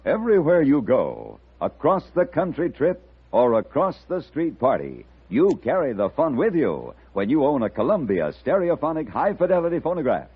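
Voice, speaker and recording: A man's voice: mid-range voice, husky. A man reading a scripted 1950s radio commercial as an announcer. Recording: dull old radio broadcast.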